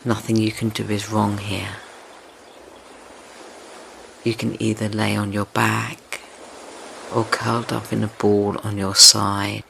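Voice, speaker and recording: A man leading a guided meditation in a gentle, soothing, tender manner, unhurried and with long pauses between phrases. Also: on the low side, creaky. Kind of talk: slow guided meditation, yoga nidra. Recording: steady ocean wave noise behind voice. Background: ocean wave sounds.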